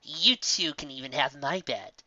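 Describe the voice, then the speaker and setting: A man voicing a nervous, proud young female pony magician who talks in a flustered, halting way in a story. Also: a little high, pushed and bright, dry recording, highs cut off.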